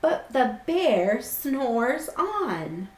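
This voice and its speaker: Brief: female children's storyteller, high and animated, acting out the words, in a roomy, echoing space. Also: drawn-out words, slight background noise. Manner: expressive, acts out words and characters. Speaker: children's club staffer reading aloud. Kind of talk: picture book read-aloud for children. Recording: roomy, echoing indoor sound.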